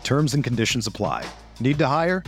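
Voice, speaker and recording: A man's voice: mid-range voice, very animated, normal speed. Male ad announcer over music. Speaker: advertisement announcer. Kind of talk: scripted ad read.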